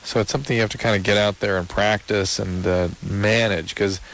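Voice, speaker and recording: A man co-hosting a radio show, giving conversational counseling advice in an analytical, measured, probing manner. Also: fairly low pitch, steady tone, a bit bright. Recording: noisy radio audio with hiss.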